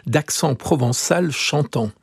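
A man's voice: fairly high pitch, slow, gravelly, breathy edge. A man interviewing a guest on the radio, asking brief, wry questions.

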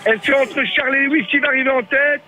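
A man, a radio reporter speaking live in an excitable, playful, exclamatory way. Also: extremely high pitch, fast, crowd noise. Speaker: field reporter on a radio show. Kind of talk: excited mock race commentary and live chat.

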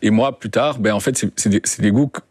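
A man's voice: lowish voice, a little fast, darkish tone, breathy edge, no room sound, studio quality. A young man, a guest telling his story in an interview, hesitant with many fillers and restarts. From Belgium. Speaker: guest, documentary co-director. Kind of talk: radio interview, personal story.

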